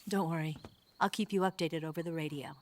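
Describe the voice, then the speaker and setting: An actress playing a composed, gently teasing female agent guiding a soldier in a video game cutscene. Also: deep voice, steady tone, quick pace, slight background noise, close to an everyday voice.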